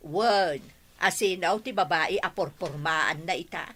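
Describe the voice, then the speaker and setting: A woman's voice: on the low side, a little slow, a bit bright. A woman playing a nosy, suspicious village mother in an Ilocano radio drama, with an Ilocano Filipino accent and emphatic, prying manner.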